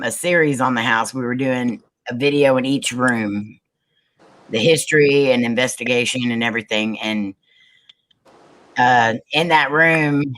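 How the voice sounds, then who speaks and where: A woman with a low voice, a paranormal investigator, telling a story on a group video call with many ums and restarts. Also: fairly bright tone. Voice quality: low, manly-sounding voice. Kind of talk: casual group video chat.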